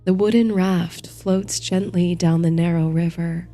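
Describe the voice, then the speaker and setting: A woman narrating a guided bedtime meditation in a soothing, gentle, hushed manner, reading from a script. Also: lowish voice, steady tone, unhurried. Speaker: host and narrator of a sleep story series. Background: soft music.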